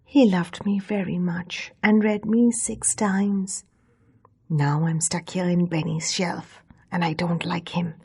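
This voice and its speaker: A woman's voice: fairly low pitch, steady tone, takes it slowly, creaky, warm tone, slightly rough, exaggerated voice. A woman voicing small, fed-up talking books in a children's story, aggrieved and plaintive.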